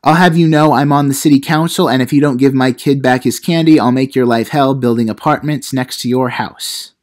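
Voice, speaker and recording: A man's voice: medium pitch, steady tone, fairly quick, close to an everyday voice. A man voicing an indignant, bossy small-town mother making threats in a comedy audio play.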